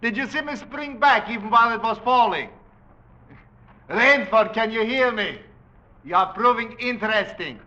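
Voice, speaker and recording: Gloating aristocratic hunter villain, high-pitched, piercing, mockingly polite, vintage radio thriller. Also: male voice, moderate pace, bright, forceful tone. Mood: amused, taunting. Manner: theatrical, mockingly courteous, taunting. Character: suave, gloating aristocratic male big-game hunter, cruelly playful villain. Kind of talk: 1940s radio drama acting. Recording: dull vintage 1940s radio recording.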